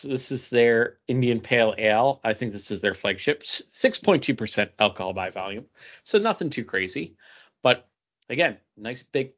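A man with an average-pitched voice speaks as a podcast co-host in casual, understated chat. He keeps his phrases short and plain, and the audio is somewhat muffled. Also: fairly bright tone.

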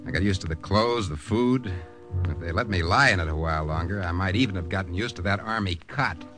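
A man's voice: deep voice, quick pace, close to an everyday voice. An actor playing a wry young army corporal who narrates his war mission in a 1950s radio drama. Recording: old radio recording with loud music behind.

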